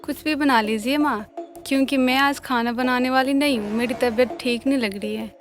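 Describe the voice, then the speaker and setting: A woman voicing a defiant, sharp-tongued young daughter-in-law in a cartoon family drama, arguing and demanding. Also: high-pitched, fairly even intonation, normal speed, soft background music, lightly acted. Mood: sullen. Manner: argumentative, demanding.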